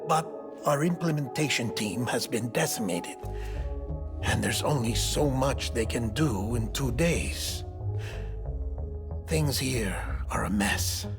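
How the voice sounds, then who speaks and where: An actor playing an eccentric, chatty middle-aged coroner in a video game cutscene, with theatrical, halting phrasing. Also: male voice, mid-range voice, lively intonation, over music. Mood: grim. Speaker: doctor and former coroner in a game story.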